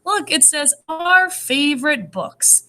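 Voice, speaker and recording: A woman with a fairly high voice teaches first graders, reading aloud and explaining things to them. Her pitch moves around a great deal as she talks. Her manner is encouraging and clearly aimed at young children. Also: slow. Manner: animated, encouraging, child-directed. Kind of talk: read-aloud and lesson addressed to young children.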